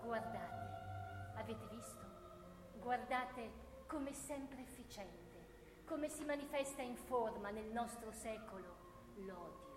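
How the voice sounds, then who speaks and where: An actress playing a sardonic orator who delivers a poetic theatrical monologue in a declamatory, ironic way, with deliberate pauses. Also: female voice, a little high, lively intonation, over music. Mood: mock admiration. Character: sardonic woman orator grandly praising hatred. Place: live radio theatre performance in a hall. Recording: echoey theatre hall, noisy distant recording.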